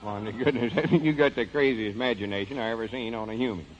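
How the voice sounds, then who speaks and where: An actor playing an exasperated, self-important but ignorant country fellow with a rural Southern accent, folksy and scolding, in 1940s radio comedy. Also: male voice, mid-range voice, fast, lightly acted. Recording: dull 1940s radio broadcast recording.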